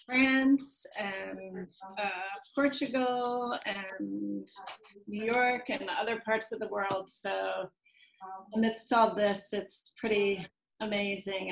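A woman author on a video call, reading her prose poems in a soft, measured way. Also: medium pitch, background noise. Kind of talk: slow poetry reading.

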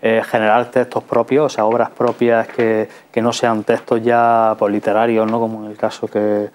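A man's voice: on the low side, monotone, moderate pace, darkish tone. A middle-aged man with an accent from Spain hosting a studio interview in a conversational, hesitant way, often checking with tag questions. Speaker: TV culture show host. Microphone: lapel mic.

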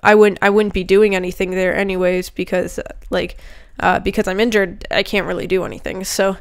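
A young woman talks over her gameplay with a slight roughness from a cold, rambling through fillers. Her middle-pitched voice is smooth and clear, close on a desk mic. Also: flat delivery, fairly quick. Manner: chatty, rambling with many fillers. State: sick with a cold, voice a bit rough. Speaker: gaming youtuber. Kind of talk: casual gameplay commentary.

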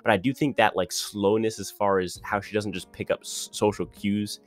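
A man's voice: on the low side, fairly even intonation, soft background music. A man giving opinionated, ranting commentary on a reality show contestant in a casual monologue. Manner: opinionated, ranting, quick with restarts.

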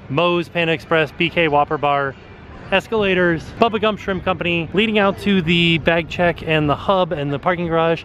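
A man's voice: fairly high pitch, fairly bright tone, slight background noise. Chatty man giving an outdoor walking tour. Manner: chatty, pointing things out as he walks. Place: outdoor shopping and dining area.

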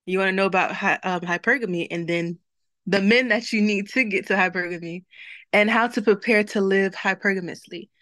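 A woman's voice: medium pitch, fairly quick, a bit bright, no room sound. A young woman opens an online webinar on a video call, leading a short prayer before welcoming everyone and reading the chat aloud. Her tone is upbeat and chatty, with frequent laughs. The sound is dry and close. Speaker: webinar host.

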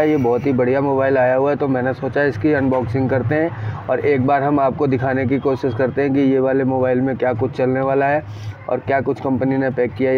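Casting: man, casual rambling unboxing host, flat intonation, slightly muffled lo-fi recording. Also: fairly high pitch. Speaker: shopkeeper presenting phone unboxings. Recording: dull lo-fi sound with urban background noise.

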